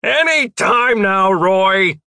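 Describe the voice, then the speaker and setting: Man acting excitable high-pitched young wizard. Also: fairly quick, no echo, studio quality, heavily acted. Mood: exasperated, yelling. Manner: loud, incredulous, slangy. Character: excitable young male wizard, exasperated then eager.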